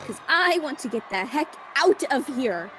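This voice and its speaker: A woman's voice: high-pitched, big pitch swings, normal speed, noisy background. A young woman playing an exasperated, incredulous girl adventurer in a casual-sounding radio theatre performance. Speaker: student radio theatre actor.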